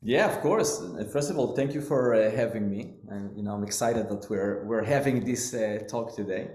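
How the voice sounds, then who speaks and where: A man's voice: fairly low pitch, normal speed, a little mellow. Israeli-accented man telling his story. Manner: earnest, loosely phrased, non-native grammar. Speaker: business owner guest. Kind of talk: storytelling interview answer. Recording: roomy echo.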